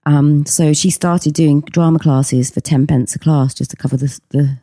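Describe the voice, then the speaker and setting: A woman's voice: sits low, steady tone, normal speed, mellow, dry recording, studio quality. A middle-aged woman, chatting as a podcast guest, hesitant with many ums. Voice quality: husky voice. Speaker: podcast guest, actress and singer. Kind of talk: casual interview chat.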